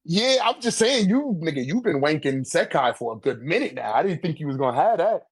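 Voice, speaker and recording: A man's voice: on the high side, big pitch swings, brisk, breathy edge, no room sound. A man in casual debate, arguing his points in slangy, profane language. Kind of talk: casual debate about a manga tier list.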